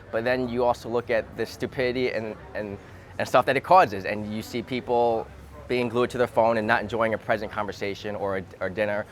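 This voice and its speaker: A man from the United States talking through his views in a relaxed conversation, hesitant and repeating words as he thinks aloud, recorded outdoors. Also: lowish voice. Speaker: interviewee. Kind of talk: thoughtful casual conversation. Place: outdoors in a city.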